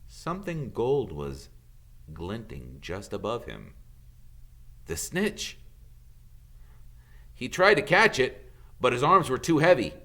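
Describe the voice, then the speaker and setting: Animated, bright-voiced man narrating a story. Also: mid-range voice, takes it slowly, long pauses. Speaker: audiobook reader.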